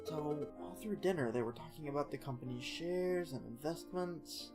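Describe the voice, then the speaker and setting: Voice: medium pitch, normal speed, natural voice. A streamer voicing a naive young noblewoman. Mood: chatty. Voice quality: nasal. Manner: naive, chatty. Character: young aristocratic woman, sheltered daughter. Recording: music in the background.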